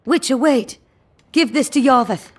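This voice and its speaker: A woman's voice: sits high, unhurried, slightly rough. An actress playing a shaken, secretive townswoman in a fantasy video game, earnest and urgent. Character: shaken, secretive female informant in fantasy town.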